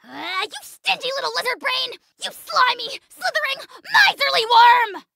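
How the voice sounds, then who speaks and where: Tiny arrogant dragon lord, very high-pitched, swooping intonation, pompous, quick to rage, cartoonish video game creature. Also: female voice, sing-song, bright, forceful tone, full character voice. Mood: furious, indignant. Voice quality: shrill, creaky, cartoonish. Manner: pompous, haughty, theatrical, insulting. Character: tiny arrogant dragon lord, bombastic, spiteful, easily enraged. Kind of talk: video game character voice acting.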